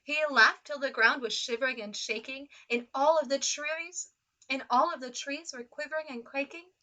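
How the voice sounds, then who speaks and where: A woman reading a children's story aloud with expressive narration. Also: sits high, moderate pace. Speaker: children's storybook reader, teacher.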